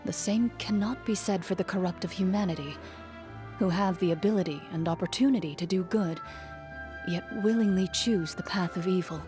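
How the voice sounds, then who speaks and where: An actress playing a cool, composed young woman, a champion duelist in a fantasy video game, speaking in a measured, formal and restrained way. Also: on the low side, over music, lightly acted. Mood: measured, reflective. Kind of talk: scripted video game character voicelines.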